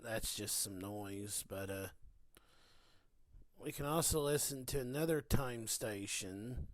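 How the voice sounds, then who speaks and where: Male hobbyist demonstrator, average pitch, informal and folksy, close dry mic, off-the-cuff feel. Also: dry recording. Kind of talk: casual hands-on demonstration.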